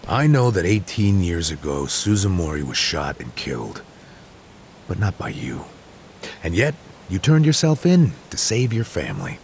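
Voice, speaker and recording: An older man with an American accent playing a wry, nosy, unhurried detective who needles people, in a video game. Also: sits low, wildly swooping pitch, gravelly, darkish tone, slight background noise, full character voice. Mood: probing. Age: older, near retirement. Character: needling, unhurried old male detective tailing an ex-con. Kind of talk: English video game dub acting.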